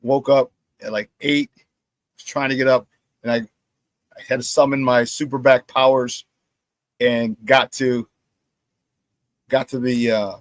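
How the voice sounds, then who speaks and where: A hoarse middle-aged man hosting a sports talk show, telling animated stories, repeating his phrases and laughing at his own stories. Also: mid-range voice, unhurried, long pauses, dry recording. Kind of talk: casual sports talk monologue.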